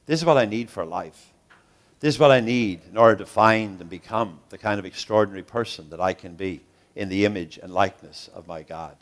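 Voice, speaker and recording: A man giving an interactive lecture to a gathered audience, preacherly and rhythmic, with slow dramatic pauses and sudden rises, putting questions to the room. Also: on the low side. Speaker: guest professor and speaker.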